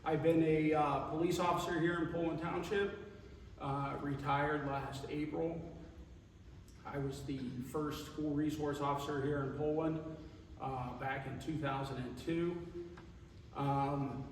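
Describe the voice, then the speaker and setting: A man in his fifties, a retired police officer, introducing himself casually and with dry humor at a public forum. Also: fairly high pitch. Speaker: township trustee candidate, retired police officer. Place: large echoing public hall. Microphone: distant microphone. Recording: echoey hall from far off, faint noise.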